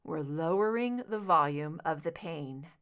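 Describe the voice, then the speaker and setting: A woman voicing a male agent who softly guides his partner through hypnosis. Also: animated, unhurried, clean tone, dull audio. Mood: tender. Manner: soft, low, soothing. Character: gentle anguished male agent guiding hypnosis.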